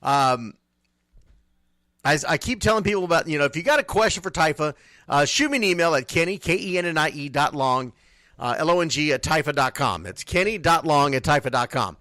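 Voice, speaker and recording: A man speaks as a casual radio host. His voice sits a little higher than most men's, and he has a chatty, folksy delivery. The sound is close and dry. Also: expressive, a little fast, bright, forceful tone, dry recording. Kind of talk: casual sports radio talk.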